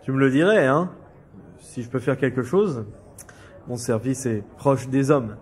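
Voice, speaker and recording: An actor playing a patronizing, prying older uncle in politics, in a French audio drama, with probing, hesitant delivery. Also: male voice, mid-range voice, a little slow, darkish tone, lightly acted. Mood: probing, concerned.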